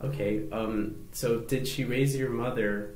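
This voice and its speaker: A man hosts an interview, cueing his guest with steady "mm-hmm"s and asking questions that wind around before landing. His voice is mid-pitched, and the room around him sounds echoey. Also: unhurried. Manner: frequent "mm-hmm" backchannels, rambling questions. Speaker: host. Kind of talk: interview hosting.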